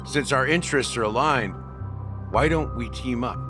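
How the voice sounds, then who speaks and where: An actor playing a wry, world-weary middle-aged investigator in a video game, speaking in a dry, probing, unhurried way. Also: male voice, mid-range voice, music in the background, lightly acted. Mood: wry, calculating. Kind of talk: dramatic video game voice acting.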